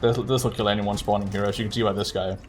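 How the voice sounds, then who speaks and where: Low-voiced man casually talking through gameplay. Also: steady tone, quick pace. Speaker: streamer speedrunner. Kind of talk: casual live gameplay commentary. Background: game music.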